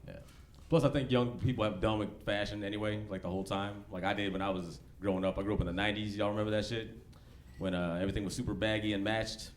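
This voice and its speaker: Stand-up comic, American man around forty, lowish voice, loose slangy swearing delivery, live club recording with some background noise. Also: fairly even intonation, fast, hardly any pauses. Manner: laid-back, slangy, profane delivery. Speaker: stand-up comedian. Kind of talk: live stand-up comedy, conversational. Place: comedy club stage.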